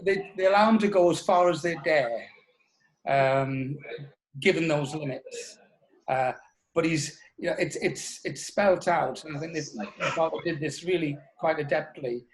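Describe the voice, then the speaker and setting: A man talking reflectively in an interview, thoughtful and halting, repeating words for emphasis. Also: on the high side, a little hiss or hum, poor audio. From North East England. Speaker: interview guest, writer.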